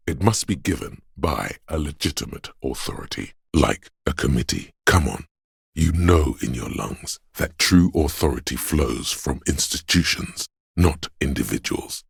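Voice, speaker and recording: An actor voicing a grave, compassionate inner voice in a video game, narrating with measured, solemn deliberation in a husky, gravelly tone. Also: male voice, a bass voice, no room sound, studio quality, exaggerated voice. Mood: measured, admonishing. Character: Empathy, a man's inner voice, grave, compassionate, knowing.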